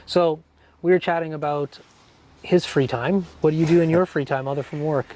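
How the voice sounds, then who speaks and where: A man from Fort McMurray hosting a morning interview show, chatty and upbeat with lots of quick interjections. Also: fairly high pitch, steady tone, darkish tone, low-quality recording. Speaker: host. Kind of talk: casual interview chat. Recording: faint background noise.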